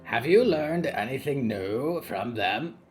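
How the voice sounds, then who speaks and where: A man doing a croaking raven-demon. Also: lively intonation, takes it slowly, soft background music. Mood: insistent. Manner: high, croaking and sly, speaks of itself in the third person. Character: croaking male raven-demon, curious and gossipy. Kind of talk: audiobook character voice.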